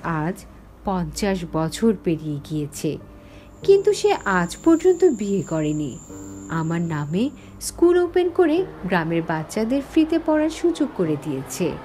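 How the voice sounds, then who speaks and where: A woman's voice: lowish voice, big pitch swings, measured pace, warm tone, slight background noise. A woman voicing the sorrowful ghost of a young village teacher, telling her story dramatically in a horror cartoon. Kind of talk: dramatic first-person horror story narration. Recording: background music under the voice.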